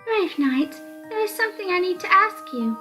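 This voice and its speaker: A woman's voice: very high voice, very animated, moderate pace, pushed and bright, soft background music, pitched above the performer's own voice, exaggerated voice. A woman voicing a sweet but eerily intense young girl from a visual novel. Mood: sweet, adoring. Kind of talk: visual novel character reading.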